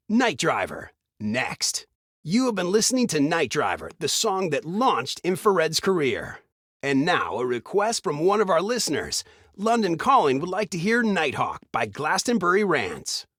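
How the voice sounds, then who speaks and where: An actor playing an upbeat retro radio DJ in a video game, with a smooth announcer's delivery of scripted patter. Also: male voice, on the high side, wildly swooping pitch, measured pace, a bit bright, no echo, clean studio sound, heavily acted. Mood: enthusiastic.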